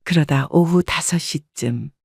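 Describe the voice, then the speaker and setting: Calm woman narrating a story. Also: deep voice, a little slow, husky, no echo, studio quality.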